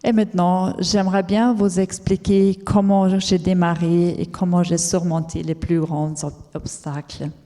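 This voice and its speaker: A woman who is not a native French speaker tells her own story as a conference talk, careful and halting, with many fillers and restarts. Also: lowish voice, little pitch movement, dark tone. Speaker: entrepreneur giving a conference talk. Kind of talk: personal story told as a talk. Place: large lecture hall. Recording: long reverb of a large hall.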